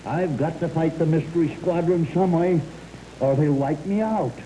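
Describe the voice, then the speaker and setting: Man playing a stubborn injured contractor, dark mellow voice, lively pitch, hissy old film transfer. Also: moderate pace, lightly acted, rough recording. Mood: urgent. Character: stubborn older male dam contractor, bedridden. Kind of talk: 1930s film serial acting.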